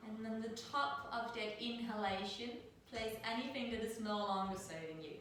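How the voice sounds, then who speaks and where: A middle-aged woman from Denmark leading a guided yoga class over a live video call, speaking in a soft, soothing way with slow, drawn-out cues. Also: fairly high pitch. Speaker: yoga teacher. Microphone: laptop mic at a distance. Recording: very echoey room, distant mic, faint noise.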